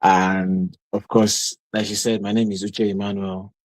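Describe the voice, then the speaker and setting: A man's voice: fairly low pitch, little pitch movement, no echo. Young Nigerian man, close dry sound. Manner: halting, repeats phrases as he searches for words. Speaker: interview guest, master's student. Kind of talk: spontaneous interview talk.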